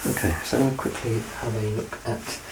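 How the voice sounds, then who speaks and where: A man playing a doctor in an ASMR medical exam roleplay, soft-spoken and reassuring, with gentle teasing and close whispered passages. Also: lowish voice, steady tone, brisk, background noise. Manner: slips into unvoiced whispering up close.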